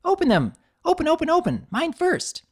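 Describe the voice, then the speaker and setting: Young female party pony, very high pitch, loud, bubbly, gleeful, childlike, excitable, cartoon story. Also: moderate pace, heavily acted. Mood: overexcited.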